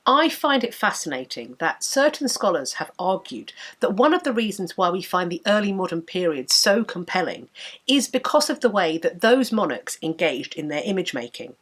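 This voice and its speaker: A woman in her thirties with a blocked nose and sore throat, giving a measured, articulate history lecture to camera from her home study. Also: on the low side, very animated, fairly bright tone. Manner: measured, articulate, enthusiastic explainer. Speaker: history educator and channel host. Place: home study with bookshelves, indoors.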